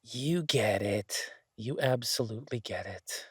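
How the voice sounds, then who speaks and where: A man playing an easygoing, affectionate chef in an intimate boyfriend roleplay audio, talking in a husky, halting, teasing way to a partner. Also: mid-range voice, fairly bright tone, no echo, studio quality. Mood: casual, wistful. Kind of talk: intimate boyfriend roleplay audio, casual one-sided chat.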